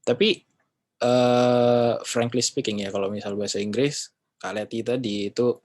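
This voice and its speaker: A man's voice: medium pitch, steady tone, normal speed, a little mellow. A man giving a casual class talk, rambling and often correcting himself with chatty fillers. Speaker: English class speaker.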